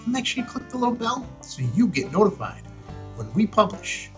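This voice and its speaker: A man's voice: sits high, very animated, moderate pace, a bit bright, soft background music. A man hosting a casual hands-on product review, talking in a folksy, emphatic way with short punchy phrases. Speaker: host of a ham radio review show.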